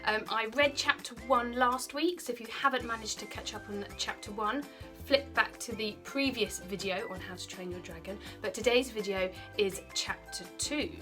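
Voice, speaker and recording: A British woman in her thirties, a primary teacher, reading a children's story aloud in an expressive, explanatory way. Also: fairly high pitch, moderate pace, music in the background. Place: small bright indoor room by a window.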